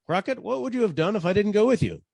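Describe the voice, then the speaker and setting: An actor playing a weary, sarcastic private detective with a husky voice and an exasperated manner, in a 1940s style radio comedy. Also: male voice, high voice, sing-song, quick pace, darkish tone, no echo, clean studio sound, heavily acted. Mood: incredulous.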